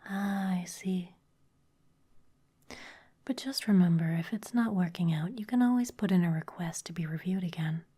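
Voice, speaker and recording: A woman's voice: lowish voice, moderate pace, long pauses. A woman whispering as a sly vampire boss. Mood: smooth, managerial. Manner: soft breathy whisper, polished and teasing. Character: sly, intimidating female vampire executive. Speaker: ASMR performer. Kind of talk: whispered ASMR roleplay.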